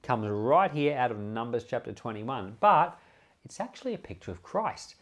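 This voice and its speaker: A man's voice: mid-range voice, big pitch swings, moderate pace. A man with an Australian accent, a pastor giving an informal sermon, explaining scripture conversationally and posing rhetorical questions. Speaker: pastor and Bible podcast host.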